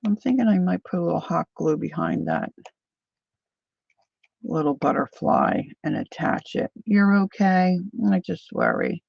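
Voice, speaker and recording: An older woman chatting casually to viewers while she crafts, thinking out loud as she works. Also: fairly low pitch, very animated, measured pace, gravelly, breathy edge, no echo. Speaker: craft livestreamer. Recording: dull lo-fi dry sound.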